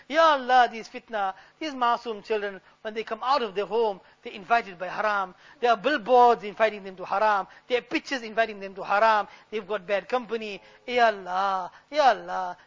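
Man, very high and bright, fervent prayer leader with a pleading, repetitive delivery; dull lo-fi recording. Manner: fervent, pleading, repeating the refrain. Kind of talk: impassioned supplication. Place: gathering of worshippers.

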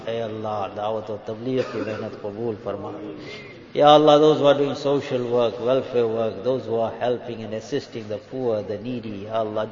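Echoey, pleading man leading fervent prayer. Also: medium pitch. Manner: pleading, emotional, drawn-out invocations; switches between English and Urdu. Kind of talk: fervent public prayer in a religious gathering. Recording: echoey hall with long reverb, dull sound.

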